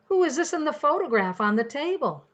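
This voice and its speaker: A woman's voice: high-pitched, sing-song, brisk. A woman acting a polite but firm grandmother in an amateur radio play.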